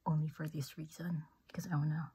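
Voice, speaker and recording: A woman whispering softly through a makeup demo, gushing with amazement over each product. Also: deep voice, little pitch movement, a little fast, husky. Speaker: beauty ASMR creator.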